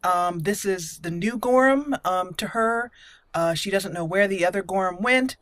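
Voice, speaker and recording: A woman giving casual, roleplay-minded let's play commentary, thinking aloud with hesitant pauses as she hosts. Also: medium pitch, a bit bright, dry recording. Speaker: gameplay host.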